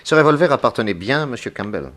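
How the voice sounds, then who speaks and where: An actor playing a calm, methodical detective in a 1960s French radio drama, probing with deliberate courtesy. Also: male voice, mid-range voice, lightly acted. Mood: reasoning. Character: calm, methodical male detective questioning a witness.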